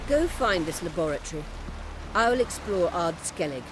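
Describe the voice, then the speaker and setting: A woman's voice: fairly low pitch, big pitch swings, moderate pace, background noise. A woman playing an imperious, self-assured sorceress in a fantasy game, speaking in commanding, clipped phrases. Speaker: supporting character in a fantasy video game. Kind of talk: game cutscene dialogue.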